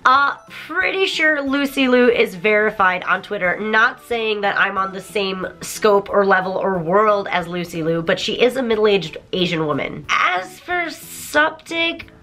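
Croaky-voiced woman chatting to camera. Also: medium pitch, unhurried, hardly any pauses, faint music behind. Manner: chatty, animated, apologetic. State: croaky from a sore throat. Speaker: YouTuber. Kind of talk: casual vlog to camera. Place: bedroom studio with toy-filled shelves.

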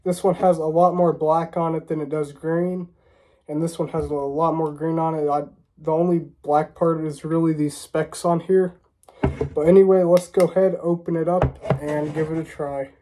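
A man's voice: fairly high pitch, flat delivery, moderate pace, warm tone. A young American man with a stuffy, congested voice from a cold, chatting casually to camera as a reviewer in a small room. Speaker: vlogger reviewing drinks.

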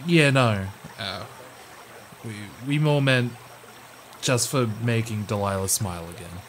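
A man's voice: lowish voice, unhurried, a little hiss or hum, lightly acted. A man playing a scrappy, earnest young brother in a roleplay audio, a little awkward and hesitant. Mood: earnest, indignant. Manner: hesitant, repeating himself.

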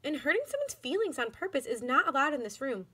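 Woman reading as a firm, bright-voiced schoolteacher. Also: high voice, very animated. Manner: measured, stern, instructive. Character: grave, firm but kind woman schoolteacher.

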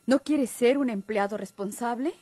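An actress playing a bossy, proud sweetheart with a Mexican accent, emphatic and commanding, in old radio drama style. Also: female voice, on the high side, normal speed, dark tone, breathy edge, no echo. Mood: reproachful. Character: bossy, encouraging, proud sweetheart of a timid young man.

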